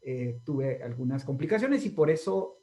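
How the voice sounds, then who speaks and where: A man's voice: medium pitch, lively intonation, normal speed, dark tone. A man with a Guatemalan accent interviewing a guest, rambling with many fillers and tag questions. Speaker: interviewer, channel host. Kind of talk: casual interview.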